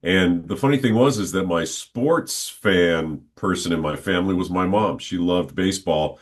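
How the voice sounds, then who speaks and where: A man in his sixties, a guest on a podcast, telling stories from his childhood in an unhurried, conversational way. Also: low-pitched. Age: 60s. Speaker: interview guest, stadium announcer. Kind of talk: relaxed reminiscing conversation.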